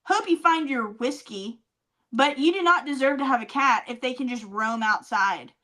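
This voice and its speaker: A high-pitched woman with a bright, forceful voice co-hosts a casual comedy podcast. She tells stories with heavy emphasis and plenty of swearing. She likes to repeat phrases to drive them home. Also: lively intonation, moderate pace. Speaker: podcast co-host. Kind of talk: casual comedic chat, storytelling.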